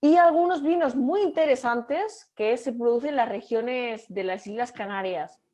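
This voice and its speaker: A young Catalan woman with a Catalan Spanish accent, giving an online lecture over a video call and speaking haltingly with frequent fillers and restarts. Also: a little high, dark tone. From Catalonia, Spain. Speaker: guest lecturer.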